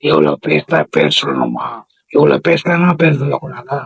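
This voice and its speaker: A man with a gravelly, husky voice making a prank call, dodging questions with teasing wisecracks in casual slang. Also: a little high, wildly swooping pitch, normal speed. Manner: evasive, wisecracking replies. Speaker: prank caller.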